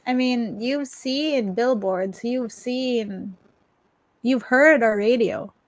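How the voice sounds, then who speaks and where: A woman's voice: on the high side, slow pace. A woman from the Philippines, chatting as an outspoken, emphatic podcast co-host. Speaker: podcast co-host and tech content creator. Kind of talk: casual opinionated chat.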